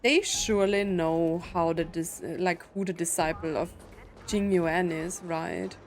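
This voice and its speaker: A woman's voice: fairly low pitch, fairly even intonation, unhurried, soft background music. A woman streamer giving casual, chatty playthrough commentary, thinking aloud as she plays. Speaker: gaming streamer.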